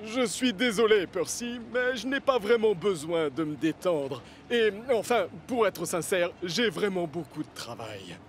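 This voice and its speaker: A man voicing a busy, good-natured junkyard machine in a French cartoon dub, with a jovial, animated manner. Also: high-pitched, unhurried, hardly any pauses, a little hiss or hum. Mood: apologetic.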